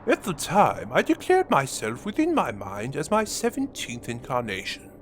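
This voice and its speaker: A man playing a villainous, grandiose alien warlord in an audio drama monologue, with theatrical pauses and menacing, hushed drops and swells. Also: medium pitch, wildly swooping pitch, slight background noise. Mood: bitter reflection.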